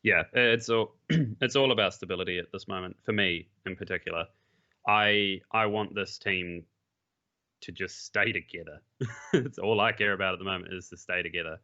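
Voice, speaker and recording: A man's voice: low-pitched, normal speed, sharp tone. A man, a pro esports player, talking casually and bluntly as a podcast guest, with frequent restarts and the odd swear. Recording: muffled lo-fi mic.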